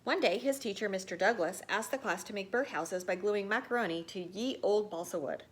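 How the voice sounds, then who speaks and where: A woman reading a children's picture book aloud like a teacher, with expressive, theatrical storytelling. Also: mid-range voice, brisk, husky.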